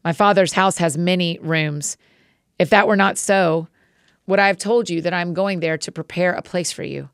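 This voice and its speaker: A woman reading as a calm, solemn male teacher. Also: on the low side, moderate pace, no echo, studio quality, close to an everyday voice.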